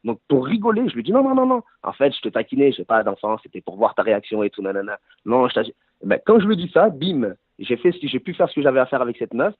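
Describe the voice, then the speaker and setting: Fast-talking thirties man on a phone line. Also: medium pitch, sing-song, slightly rough, no echo. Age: 30s. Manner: fast, storytelling, self-correcting. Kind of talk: casual debate over a phone call. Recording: tinny phone line, dry.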